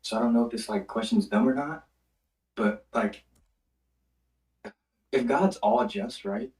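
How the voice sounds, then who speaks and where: A young man calls in to ask questions, his gravelly, dark voice sounding roomy and far from the mic. He rambles and fills his talk with "like," and his pitch swings up when he asks something. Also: very animated, unhurried. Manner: rambling, full of "like", rising questions. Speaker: caller.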